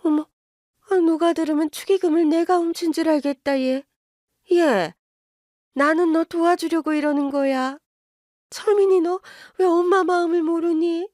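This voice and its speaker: A woman voicing a shrill, pushy older mother-in-law who scolds and accuses in a high, indignant voice. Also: fairly even intonation, measured pace, a little mellow, dry recording, studio quality. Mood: defensive.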